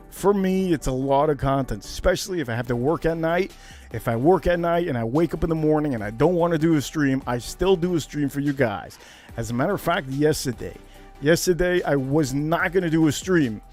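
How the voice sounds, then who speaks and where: A man hosting a casual livestream, rambling with lots of fillers and greeting viewers by name. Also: a little high. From United States. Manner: rambling, many fillers, reads out viewer names. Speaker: livestream host.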